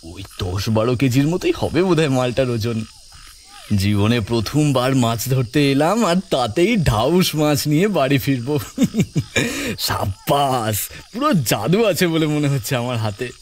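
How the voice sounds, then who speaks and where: An actor playing a grumbling, excitable young village man who mutters to himself in an audio horror story. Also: mid-range voice, unhurried, slight background noise. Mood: gleeful, excited. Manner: muttering to himself, colloquial, exclamatory.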